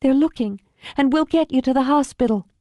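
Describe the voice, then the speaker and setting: A woman's voice: high voice, fairly quick, dark tone, no echo, voice pushed up, natural voice. A woman voicing a frightened, tender young daughter in an audiobook thriller, pleading with breathless urgency. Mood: urgent, tender. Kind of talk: audiobook thriller character acting.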